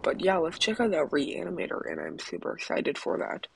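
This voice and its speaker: A young man hosting a mobile game channel, chatting casually with many ums, his voice a little raspy. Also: a little high, fairly quick, over a noisy crowd. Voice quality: slightly raspy voice. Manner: hesitant, many ums, excited about items. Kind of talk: casual gameplay commentary.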